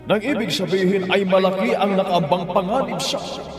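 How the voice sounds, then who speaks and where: Man acting earnest preacher, solemn sermon, highish voice, long hall reverb, emphatic. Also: fairly even intonation, brisk, natural voice. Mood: solemn, warning. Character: earnest preacher warning sinners and urging salvation. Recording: echoey hall reverb, loud music behind.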